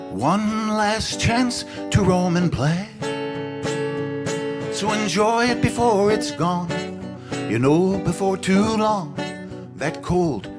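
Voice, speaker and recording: An older man, a singer-songwriter, singing his own songs into a stand mic on a small cafe stage, with soft, halting spoken introductions between songs. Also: high-pitched. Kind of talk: singing original songs with acoustic guitar. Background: his own acoustic guitar.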